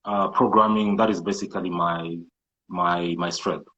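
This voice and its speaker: A Kenyan-accented man from the coast whose first language is Swahili, speaking in a fairly low voice. He talks in a measured, thoughtful way, often restarting his sentences as he works through his answer. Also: steady tone, pushed and bright, lo-fi sound. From Kenyan coast, Malindi. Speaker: guest entrepreneur. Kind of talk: casual interview talk.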